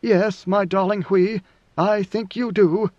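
A man voicing a grave, ancient god-emperor in a storybook reading, with slow, solemn, oracular pronouncements. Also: high voice, fairly even intonation, darkish tone, dry recording, dull audio, voice pushed up. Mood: tender.

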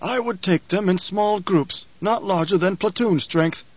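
A shrewd older spymaster, voiced by a man reading aloud, speaks in a mild, precise, lifted voice. He probes with calm questions. The recording is dry and a little muffled. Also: high voice, measured pace, husky, no echo, voice pushed up.

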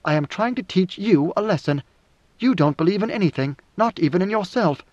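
In a roomy, slightly muffled recording, a young woman rebel leader played by a male narrator speaks in a raised pitch. She is cool and exacting, issuing probing questions and orders with measured, imperious authority, as in a science fiction audiobook. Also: expressive, a little mellow. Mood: commanding.

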